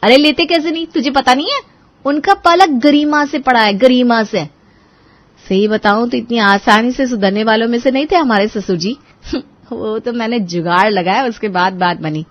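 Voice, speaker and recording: A woman's voice: a little high, moderate pace, lightly acted. A woman playing a bold, boastful young daughter-in-law in a cartoon story. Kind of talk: Hindi moral cartoon story acting. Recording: dull, band-limited track.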